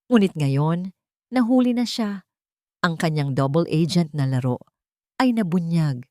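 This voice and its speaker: Babaeng nagkukuwento nang madrama. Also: female voice, fairly low pitch, animated, a little slow, a little mellow, no echo, clean studio sound. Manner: dramatic storytelling, reads all parts herself. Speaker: narrator. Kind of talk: scripted story narration.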